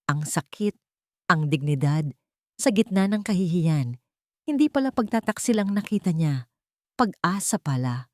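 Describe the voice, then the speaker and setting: Female narrator, lowish voice, emotive audio-drama storytelling, close and dry recording. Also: darkish tone, dry recording.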